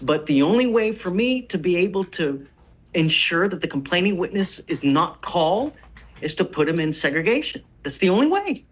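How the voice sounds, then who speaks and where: Male judge, somewhat higher-pitched, blunt and firm, ruling from the bench. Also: sing-song, moderate pace. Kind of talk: presiding over a court hearing. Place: courtroom.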